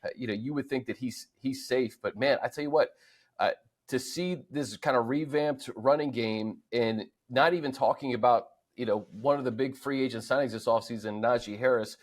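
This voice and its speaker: An American man with a medium-pitched voice hosts a sports talk show. His delivery is casual and conversational as he recaps numbers and offers analysis. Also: normal speed.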